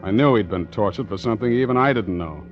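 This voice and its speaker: A man's voice: fairly low pitch, expressive, quick pace, close to an everyday voice. A man acting a tough, secretive ship's skipper with wry, hard-boiled delivery in a 1940s radio adventure. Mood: brooding narration. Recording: muffled 1940s radio recording, background music.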